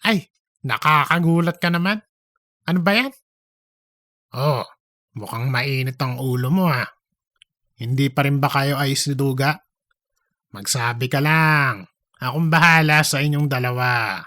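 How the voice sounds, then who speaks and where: Performer playing pushy office colleague, comedic audio drama, exaggerated chatty delivery, bright forceful tone, dry recording. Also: medium pitch, measured pace, long pauses, no echo. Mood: teasing.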